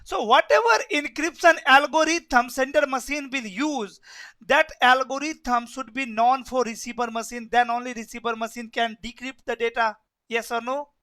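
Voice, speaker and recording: Bright-voiced man teaching networking. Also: very high voice, moderate pace. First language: Hindi. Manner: repetitive, keeps asking "yes or no?". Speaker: networking instructor. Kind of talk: live online class lecture.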